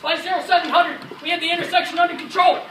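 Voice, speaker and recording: A man plays a dutiful American paratrooper telling of combat in a war drama. The delivery is declamatory, with a high, piercing tone. The voice is picked up from far off, with faint noise behind it. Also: little pitch movement, fast, echoey room. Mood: urgent, forceful. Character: male American WWII paratrooper, dutiful veteran recounting combat. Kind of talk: rehearsed dramatic war narration.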